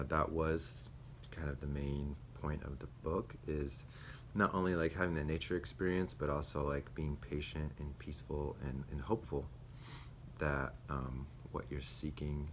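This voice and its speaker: A young man, a student teacher, reflecting aloud on a lesson he taught, with many pauses and fillers. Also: rumbling low, little pitch movement. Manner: hesitant, many ums and restarts. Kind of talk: spoken self-reflection. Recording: faint background noise.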